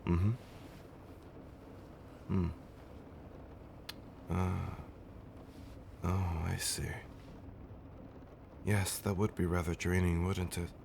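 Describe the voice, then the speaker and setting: Guy doing a low voiced old vampire husband, doting and a bit fussy, comfort roleplay, noisy ambience behind him. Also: little pitch movement, creaky, heavily acted. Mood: tender concern. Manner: old-fashioned, genteel wording with dramatic pauses. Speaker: audio roleplay performer. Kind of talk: intimate comfort roleplay, ASMR-like storytelling.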